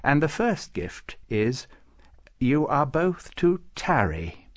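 A man's voice: a little high, sing-song, takes it slowly, pitched above the performer's own voice. A man voicing a solemn, kindly storybook saint who makes formal pronouncements. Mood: stately, benevolent. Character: solemn, kindly male saint presiding over council. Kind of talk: storybook character voice.

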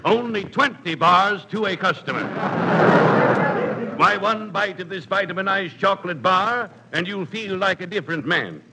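An actor playing a slick burlesque candy hawker, giving a deliberate showman's sales spiel with suggestive wisecracks in 1940s radio comedy. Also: high voice, animated. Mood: slick, teasing. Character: slick burlesque theater candy pitchman hawking wares. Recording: noisy old radio recording.

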